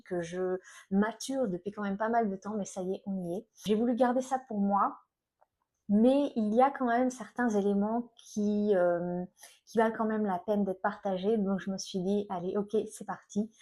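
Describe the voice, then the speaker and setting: A middle-aged woman speaks with an accent from France in a soft-edged, mellow tone. She talks informally, as if to her own online followers, and often stops to search for the next word. Her pitch sits in an average range for a woman. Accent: French from France. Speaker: self-employed energy healer and coach, video host. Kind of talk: personal vlog, informal talk to viewers.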